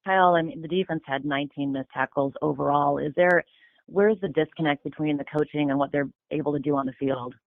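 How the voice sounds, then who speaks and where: A man's voice: a little high, steady tone, a little fast, vocal fry. A man working as a sports reporter, asking a question on a press conference call. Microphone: phone on conference call. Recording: narrow dry phone line.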